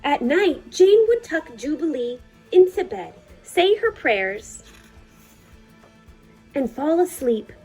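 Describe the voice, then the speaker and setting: A woman reading a picture book aloud for children at storytime, with measured, deliberate phrasing. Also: sits high, big pitch swings, mellow, soft background music, poor audio.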